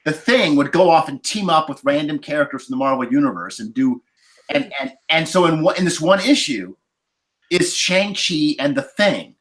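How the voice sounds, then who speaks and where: A man's voice: a little high, normal speed. A man chatting casually on a podcast panel, telling stories with many asides. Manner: animated storyteller, many asides. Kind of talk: casual anecdotal chat.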